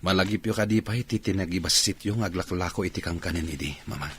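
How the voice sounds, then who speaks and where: A man's voice: sits low, fast, husky. A man playing a humbled young jobseeker in a radio drama, speaking in a hesitant, deferential way. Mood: earnest. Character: humbled young man from a bankrupt family seeking work.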